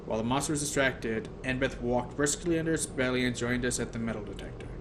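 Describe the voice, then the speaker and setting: A male audiobook reader with a mid-pitched voice narrates a novel in the first person. He sometimes trips and rereads phrases, and there is noticeable noise on the recording. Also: quick pace.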